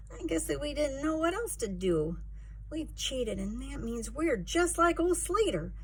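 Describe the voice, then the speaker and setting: A woman's voice: sits high, voice pushed up, full character voice, rough recording. A woman voicing an upset young child in a children's storybook, with a dramatic, wailing delivery. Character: upset young Fruzle child, indignant, then miserable.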